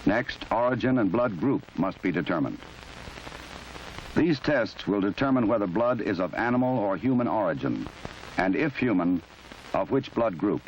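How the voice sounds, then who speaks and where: Male narrator, low register, measured and exact instructional read, dated film audio with faint background noise. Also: animated. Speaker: narrator of a forensic training film. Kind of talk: formal scripted documentary narration. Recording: muffled, noisy old 1970s film soundtrack.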